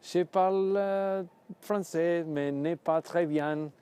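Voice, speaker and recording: A man with an Argentine accent, slow and self-deprecating, speaking in a documentary interview. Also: a little high, steady tone, mellow, clear, resonant tone.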